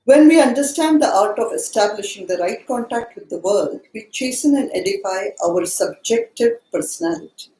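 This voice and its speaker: A woman hosting a book study, speaking in a measured way as she sums up points and poses questions. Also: fairly high pitch, lively intonation, mellow. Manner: measured, summarizing and posing questions. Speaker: study session host. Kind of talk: guided book study discussion. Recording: echoey, roomy sound.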